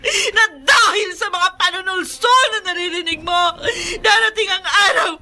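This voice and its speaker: A woman's voice: very high voice, normal speed, bright, forceful tone, exaggerated voice. A Filipino actress playing a meek, easily distressed young married woman in an emotive radio drama. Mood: pleading, tearful. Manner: emotive, melodramatic. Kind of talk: Tagalog radio drama acting.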